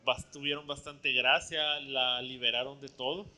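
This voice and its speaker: A man interpreting a sermon phrase by phrase into Spanish, following each line closely and sometimes pausing with fillers. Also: medium pitch, level tone, pushed and bright.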